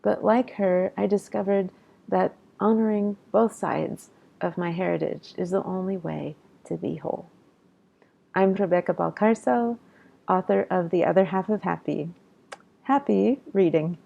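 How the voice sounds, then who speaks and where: A woman's voice: fairly low pitch, a little slow, creaky, dark tone, highs cut off. A woman author giving reflective closing words about her book.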